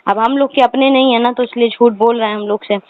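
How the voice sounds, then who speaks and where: High-pitched insistent woman on a tinny phone line. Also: fairly even intonation, fast. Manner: insistent, aggrieved, talks at length. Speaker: customer complaining to a car salesman. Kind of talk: phone complaint conversation. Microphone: phone call.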